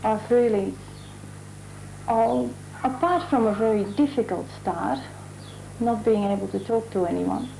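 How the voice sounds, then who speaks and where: A woman from the Netherlands, a mural artist, talking thoughtfully in a documentary interview and pausing to find her words. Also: a little high, creaky, mellow, a little hiss or hum, low-quality recording. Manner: chatty.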